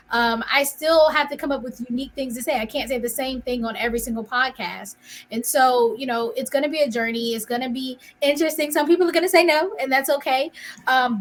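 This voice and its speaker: A woman's voice: high-pitched, a bit bright. Encouraging woman podcast host. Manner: encouraging, chatty, with fillers. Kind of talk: podcast interview.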